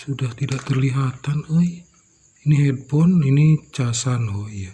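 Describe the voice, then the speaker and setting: A man's voice: mid-range voice, expressive, unhurried, dark tone, a little hiss or hum. A man giving a casual hands-on repair commentary, thinking aloud step by step as he works. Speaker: electronics repairer and video host.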